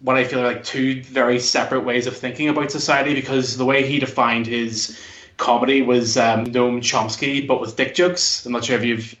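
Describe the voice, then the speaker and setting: A man's voice: medium pitch, little pitch movement, moderate pace, fairly bright tone. A man in his thirties with a Belfast, Northern Irish accent, chatting casually as an interview guest in a discursive way. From Northern Ireland. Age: 30s. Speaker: podcast guest. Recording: dull lo-fi sound, echoey room.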